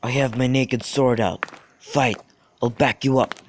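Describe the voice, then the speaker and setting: A man's voice: mid-range voice, fairly even intonation, a little slow, slight background noise, close to an everyday voice. A man playing a bragging, crude young servant itching for a brawl in an amateur student radio drama. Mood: boastful.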